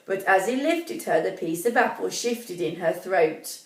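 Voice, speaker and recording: A woman reading a children's story as expressive, deliberate narration, pausing to put questions to young listeners. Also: lowish voice. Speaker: primary school story reader. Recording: echoey room, distant mic.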